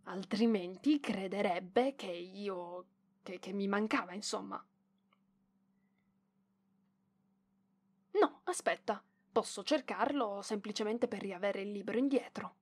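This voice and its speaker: A woman's voice: medium pitch, measured pace, lightly acted. A woman voicing a proud young librarian in an ASMR roleplay, defensive and bashful, with hesitant stammering. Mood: worried, conflicted. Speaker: voice actress.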